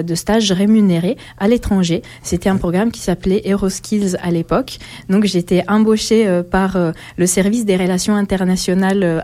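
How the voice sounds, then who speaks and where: A woman in her forties speaks slowly and thoughtfully, pausing often between phrases. Her voice sits a little low and sounds mellow and warm. She is answering as a guest in an interview. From Italy. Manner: halting, thoughtful, many pauses.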